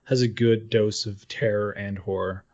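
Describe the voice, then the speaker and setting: A man's voice: lowish voice, steady tone, normal speed. Casual, sweary man on muffled lo-fi audio. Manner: casual, profane. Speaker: podcast co-host. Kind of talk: casual chat about games. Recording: dull lo-fi audio.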